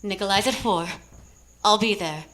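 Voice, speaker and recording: A woman's voice: mid-range voice, normal speed, a little hiss or hum, lightly acted. An actress playing a polite, restrained, wistful married woman in a 1940s radio melodrama. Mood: sad, restrained. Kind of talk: 1940s radio drama acting. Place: live theater stage. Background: loud live guitar music.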